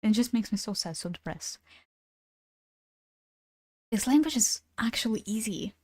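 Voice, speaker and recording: A woman's voice: a little high, expressive, measured pace, darkish tone, no echo, clean studio sound. Chatty young woman streamer, Ukrainian native. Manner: chatty, swears casually, laughs between thoughts. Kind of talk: casual gaming stream chat.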